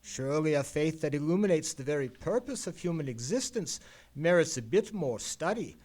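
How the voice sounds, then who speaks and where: A man's voice: fairly high pitch, animated, normal speed, a little mellow. An actor playing an erudite, world-weary male detective in an old-time radio play, with a pedantic and eloquent manner. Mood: lofty, amused. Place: live performance in a theatre.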